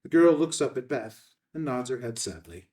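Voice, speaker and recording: A man's voice: mid-range voice, animated, moderate pace, a little mellow, distant mic. A man reading out stage directions as scripted narration at a film table read.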